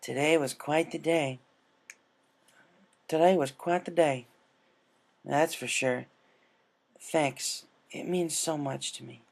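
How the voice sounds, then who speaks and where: A man voicing an excitable, earnest female cartoon pegasus pony with an emotional delivery in a story reading. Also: a little high, slow, creaky, long pauses, voice pushed up, heavily acted. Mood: tender, vulnerable.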